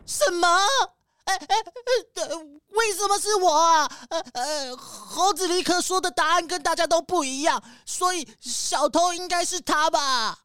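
A woman's voice: very high voice, a little slow, dry recording, studio quality. A woman playing a nervous, guilt-ridden young pony schoolkid in a children's detective audio drama, hesitant and pleading. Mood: flustered, defensive. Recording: loud music behind.

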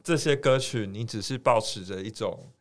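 A man's voice: fairly low pitch, normal speed. A man with a Taiwanese Mandarin accent hosting a casual, bantering interview. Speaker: podcast host.

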